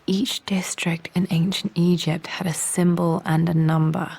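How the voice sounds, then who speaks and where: Low creaky-voiced thoughtful young Englishwoman. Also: flat delivery, measured pace, a little mellow, full character voice. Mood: reflective. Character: young adventurous woman archaeologist, thoughtful and determined. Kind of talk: video game voice acting, musing to herself.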